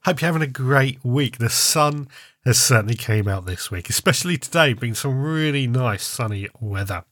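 A man's voice: medium pitch, expressive, normal speed, a bit bright, dry recording. A man, stuffy-nosed with a sore throat, hosting a casual solo podcast in a chatty, rambling way and often restarting his phrases. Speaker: podcast host.

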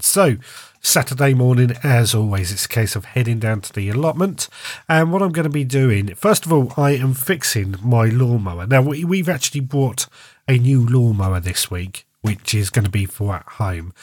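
A man's voice: mid-range voice, husky, dry recording, clean studio sound. Congested man hosting a podcast. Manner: chatty and rambling, often restarts phrases. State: congested, stuffy nose and sore throat. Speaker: podcast host. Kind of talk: casual solo podcast monologue.

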